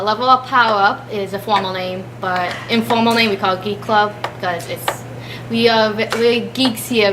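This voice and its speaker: A young adult woman chats casually about her student club in an informal, halting, self-correcting way. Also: mid-range voice, background noise. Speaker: student club member being interviewed. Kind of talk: casual chat.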